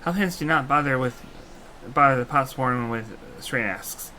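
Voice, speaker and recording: A man's voice: medium pitch, a little slow, pushed and bright, a little hiss or hum. A man doing a small eager creature with broken speech. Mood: earnest. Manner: broken third-person speech. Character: small eager creature helper, speaks of itself by name. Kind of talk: fan voicing of game dialogue. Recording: game music behind.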